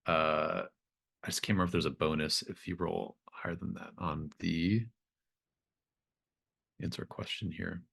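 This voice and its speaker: A man acting as game master, speaking casually and haltingly as he checks in with his players. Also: sits low, fairly even intonation, gravelly, a bit bright, husky. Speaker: game master of a tabletop RPG. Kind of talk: casual, unscripted game running.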